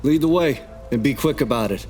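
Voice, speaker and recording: A man's voice: medium pitch, a little fast, darkish tone, faint music behind, close to an everyday voice. A man playing a curt, commanding rogue leader with dry sarcasm in a sci-fi game fan dub. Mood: dry, sarcastic. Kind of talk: visual novel fan dub acting.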